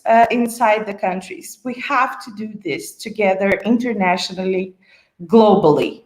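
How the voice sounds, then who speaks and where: A woman with a fairly high voice moderates a panel, feeling her way through long questions with many pauses and fillers. Her voice carries the echo of a roomy space.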